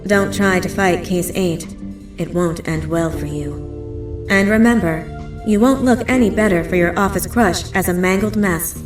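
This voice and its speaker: A woman playing a composed facility announcer, narrating an employee safety video in a horror game with measured, formal delivery. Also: on the low side, natural voice. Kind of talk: scripted corporate training narration in a horror game. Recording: echoey, distant, music underneath. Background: music.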